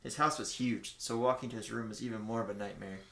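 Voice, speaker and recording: A man's voice: fairly low pitch, fairly even intonation, fast, gravelly, fairly bright tone. A man casually reading a story aloud, mixing amateur narration with banter. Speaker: co-host reading fan fiction aloud. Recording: roomy, echoing room sound.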